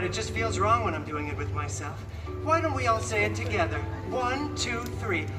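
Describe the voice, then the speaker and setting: A man plays a mischievous comic superhero reading a parody bedtime story in a very high voice. He is theatrical and arch, full of playful asides. It rings out through an echoey, distant park PA with loud music underneath. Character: wisecracking, self-aware superhero storyteller, campy and mischievous.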